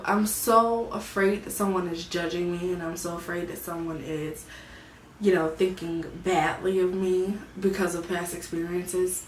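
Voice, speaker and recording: Young woman, soft and hesitant, roomy sound. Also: fairly low pitch, a little hiss or hum. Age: 20s. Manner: soft, hesitant, searching for words. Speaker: vlogger. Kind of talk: personal confessional vlog. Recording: echoey room, mic at a distance.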